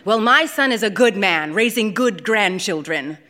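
A woman's voice: mid-range voice, lively intonation, moderate pace, pushed and bright. A woman voicing a proud, pushy old grandmother in a short story, insistent and dramatic as she scolds and coaxes. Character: proud, pushy old Ethiopian grandmother, doting on her son.

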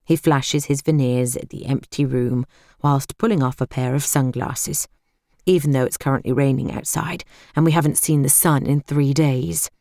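A woman's voice: rumbling low, steady tone, normal speed, dark tone, dry recording, studio quality. A British woman reading first-person fiction as an audiobook narrator.

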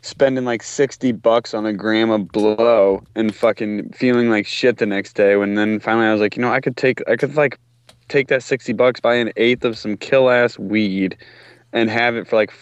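A man's voice: fairly low pitch, fairly even intonation, normal speed, clear, resonant tone, no echo. Man rambling in slightly dull audio. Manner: rambling, swearing, slangy. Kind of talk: casual profane chat.

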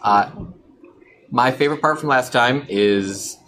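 A man's voice: medium pitch, unhurried, a bit bright, a little hiss or hum. A man playing in a tabletop game, talking casually and laughing easily. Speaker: player. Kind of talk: casual chat.

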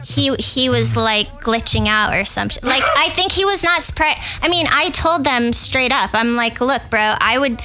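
Bright childlike woman's voice. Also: faint music behind, poor audio. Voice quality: high childlike voice. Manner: animated, swearing, quick storytelling. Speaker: podcast co-host. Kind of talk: casual chat.

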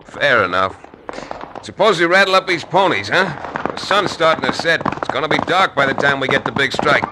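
An actor playing an easygoing frontier lawyer who narrates a 1950s radio western. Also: male voice, a little high, expressive, a little fast, lightly acted. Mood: laid-back. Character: easygoing frontier lawyer, man, dry wit. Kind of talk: 1950s radio drama acting. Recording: old radio broadcast with crowd noise.

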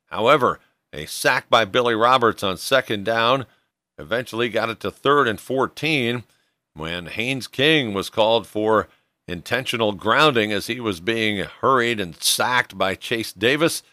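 A man's voice: mid-range voice, expressive, measured pace, bright, forceful tone, no echo. A man hosting a sports show, narrating a scripted recap of a football game.

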